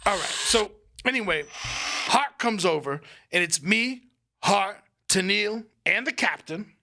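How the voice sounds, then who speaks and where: A man's voice: high-pitched, animated, unhurried, bright, forceful tone, full character voice. A man playing a spaced-out burnout roadie who rambles through absurd boasting tall tales in an improvised comedy bit. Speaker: podcast co-host.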